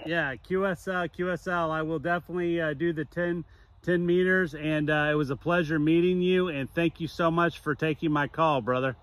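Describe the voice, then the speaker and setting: Male ham radio hobbyist, 40s, American, fairly high voice, casual. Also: fairly even intonation, normal speed, a bit bright, slight background noise. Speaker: novice amateur radio operator, vlogger. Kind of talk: casual chat.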